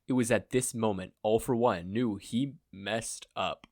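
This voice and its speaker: A man's voice: lowish voice, unhurried, darkish tone, dry recording, clean studio sound. A man reading a story aloud as a podfic narrator, in a scripted storytelling style.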